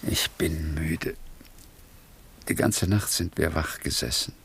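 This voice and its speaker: Slow, gravelly, weary old father. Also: very deep voice, fairly bright tone, heavily acted. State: tired. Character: weary, troubled older father, brooding and bereft. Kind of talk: 1960s German radio drama acting.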